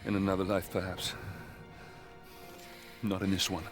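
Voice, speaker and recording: An actor playing a defiant Roman general with a commanding manner. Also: male voice, sits low, level tone, moderate pace, music in the background, natural voice. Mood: resigned. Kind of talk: video game cutscene acting.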